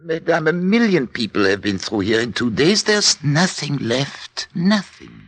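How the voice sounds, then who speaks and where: Animated, anxious French innkeeper. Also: male voice, fairly high pitch, no echo, heavily acted. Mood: despairing refusal. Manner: hesitant, halting broken English. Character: anxious French wayside innkeeper, apologetic but secretly kind. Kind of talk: 1940s radio acting.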